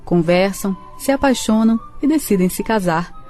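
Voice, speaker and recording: A woman's voice: mid-range voice, animated, normal speed, warm tone, soft background music. A woman with a Brazilian accent narrating a scripted radio drama.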